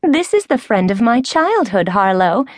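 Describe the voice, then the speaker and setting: Storybook role: woman doing a chatty, effusive short woman, lifted pitch. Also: sing-song, unhurried, no room sound, clean studio sound. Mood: gushing. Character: gushing short woman reuniting with childhood friend.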